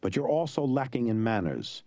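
A man's voice: medium pitch, big pitch swings, moderate pace, warm tone, dry recording, clean studio sound. A man voicing a cruel, haughty nobleman in an audiobook.

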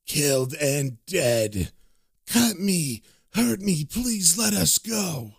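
A man voicing reanimated corpses as tormented souls in a horror story, pleading in drawn-out moans. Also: fairly high pitch, big pitch swings, creaky, breathy edge, heavily acted. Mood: pleading, pained. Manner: slow, moaning, drawn-out pleading.

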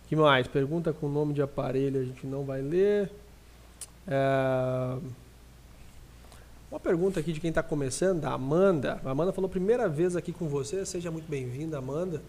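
Podcast host, male, Brazilian accent, somewhat high register; informal, audience-facing live chat delivery. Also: normal speed, pauses often, darkish tone. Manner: informal, addresses listeners directly.

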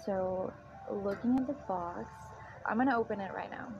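A woman's voice: mid-range voice, normal speed, over music. A woman of twenty-six from Mexico, talking casually and chattily with lots of "like", as in an audio diary. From Mexico, moved to Texas at fourteen. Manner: chatty, frequent "like" as a filler. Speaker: guest product tester. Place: apartment. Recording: dull, noisy home self-recording.

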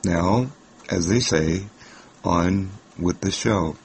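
A man hosting a podcast, introducing an old radio show in a measured, informal manner. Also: sits low, vocal fry, a little mellow. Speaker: podcast host. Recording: faint background noise.

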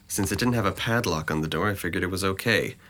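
A man's voice: low voice, quick pace, sharp tone. A man playing a depressed, sardonic twenty-one-year-old in an audio drama, with a dry, articulate delivery. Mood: dry, defensive. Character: sardonic, depressed young man, resentful and withdrawn.

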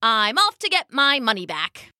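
A woman's voice: on the high side, sing-song, normal speed, pushed and bright, clean tone, no room sound, studio quality, full character voice. A woman voicing a cheerful, polite and determined little male duck for a children's storybook, with an eager, quacking way of talking. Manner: quacking chant, simple and eager.

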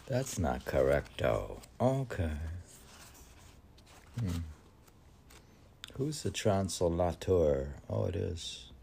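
A man with a very low, gravelly voice hosts a poetry reading. He moves between verse and rambling casual remarks. His musing is halting and unhurried, often questioning himself. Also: a little mellow, slight background noise. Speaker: podcast host reading poetry.

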